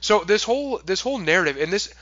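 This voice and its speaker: A man's voice: high-pitched, animated, fast, fairly bright tone, no echo, muffled recording. Emphatic male interview host. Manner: emphatic, building intensity. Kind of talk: political interview.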